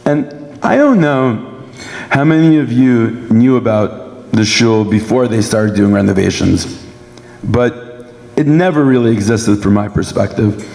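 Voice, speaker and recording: A young man from Brooklyn with a sore throat gives a measured, prepared personal speech at a dinner event. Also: lowish voice, creaky, darkish tone. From Brooklyn, New York, United States. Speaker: guest speaker giving a personal testimony. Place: large indoor event hall. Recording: echoey hall with long reverb.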